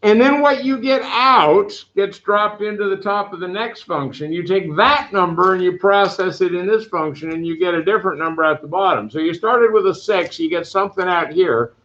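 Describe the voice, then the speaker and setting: A man teaching a math class, giving loud, direct, brisk instructions to his students. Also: high voice. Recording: echoey classroom, dull lo-fi sound.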